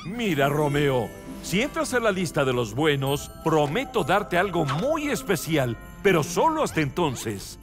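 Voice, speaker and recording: A man's voice: on the high side, sing-song, a little slow, long reverb tail, music in the background. A man playing a big old Santa Claus in a cartoon dub, stern but fair, speaking firmly. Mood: stern, promising. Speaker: cartoon character voice actor. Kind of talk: animated children's show dub.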